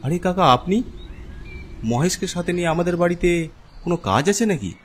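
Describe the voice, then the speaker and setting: A man's voice: high-pitched, animated, moderate pace, slight background noise, pitched above the performer's own voice. A man playing a young village man in a horror cartoon, earnest and questioning as he seeks his friends. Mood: surprised, polite. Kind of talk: cartoon horror story dialogue.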